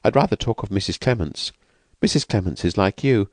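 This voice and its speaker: A man voicing a frightened, fragile young woman who has escaped from an asylum, speaking softly and hesitantly. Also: lowish voice, animated, fairly quick, no echo, voice pushed up, natural voice. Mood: earnest. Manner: hesitant, vacant, soft-spoken.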